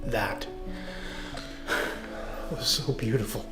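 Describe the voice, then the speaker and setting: A man's voice: medium pitch, very slow. A man acting a sheepish closeted reverend, earnest and hesitant, in a melodramatic web drama. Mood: moved, earnest. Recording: roomy film dialogue under music.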